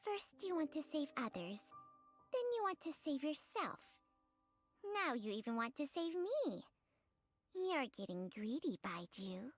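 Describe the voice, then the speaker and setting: A woman voicing a small, ancient female snake spirit in a video game, weary, wry and deliberate in manner. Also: high voice, sing-song. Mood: wry reproach. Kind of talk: scripted video game voice acting. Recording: clear music behind the voice.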